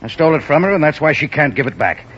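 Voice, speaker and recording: A man's voice: a little high, big pitch swings, quick pace, fairly bright tone, a little hiss or hum. An actor playing a blunt, loyal cab driver in his forties in a 1950s radio drama, defiant and angry. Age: 40s. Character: gruff, loyal red-haired cabbie over forty. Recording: muffled old radio recording.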